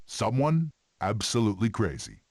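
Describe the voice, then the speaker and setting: Prehistoric animal storyteller for animated film, deep and expressive, faint noise on the clip. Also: male voice, normal speed. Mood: storytelling.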